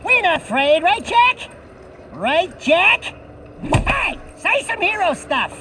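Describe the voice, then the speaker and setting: A man's voice: very high-pitched, very animated, takes it slowly, noisy background, exaggerated voice. A man voicing a small, wisecracking animal sidekick in a game, brash, cocky and whiny. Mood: nervous bluster. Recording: music behind the voice.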